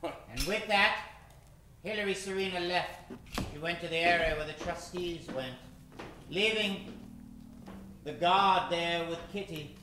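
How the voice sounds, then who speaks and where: A man's voice: sits high, unhurried, long pauses, background noise. A man narrating a scripted old-time radio drama in a theatrical, dramatic manner. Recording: echoey stage recording with music.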